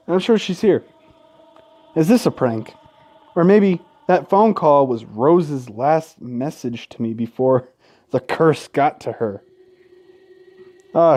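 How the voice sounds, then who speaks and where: A man doing gaming commentary, reading a visual novel aloud with casual, sweary asides and an expressive, dramatic delivery. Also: fairly high pitch. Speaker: let's play host. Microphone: close gaming microphone.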